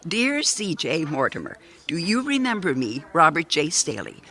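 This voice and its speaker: A woman's voice: sits low, sing-song, normal speed, a bit bright. A woman playing a kindly, hesitant secretary who humors a troubled stranger in a radio mystery drama. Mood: placating. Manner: hesitant, placating.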